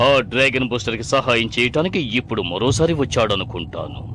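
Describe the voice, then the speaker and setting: A man's voice: mid-range voice, very animated, quick pace, close to an everyday voice. A man playing a commanding, dramatic villain in a dubbed cartoon, bent on enslaving his enemies. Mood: scheming. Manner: domineering, dramatic. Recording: loud music behind the voice.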